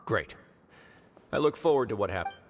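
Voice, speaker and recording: A man playing a shrewd, guarded fortress administrator in a video game, speaking in a measured, understated way. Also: lowish voice, expressive, a little hiss or hum. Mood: calm, guarded. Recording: long reverb, music behind.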